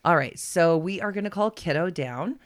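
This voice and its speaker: A woman in her forties with a low voice that swings widely in pitch as she chats. She sounds like a podcast co-host trading easy, informal banter with a friend. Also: expressive, normal speed. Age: 40s. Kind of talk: casual banter between friends.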